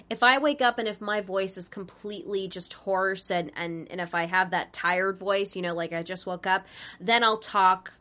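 A woman's voice: fairly low pitch, sharp tone. A young woman voice actress chatting as an interview guest, giggly and self-deprecating, rambling with frequent restarts. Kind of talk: casual interview chat.

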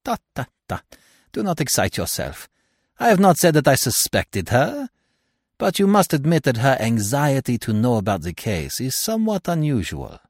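A man's voice: medium pitch, wildly swooping pitch, moderate pace, dry recording, pitched above the performer's own voice. Older Belgian detective played by a male reader, Belgian French accent, ironic and avuncular, very swooping intonation, close dry storybook sound. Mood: teasing, firm. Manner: gentle, ironic, emphatic, avuncular. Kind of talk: audiobook character voice.

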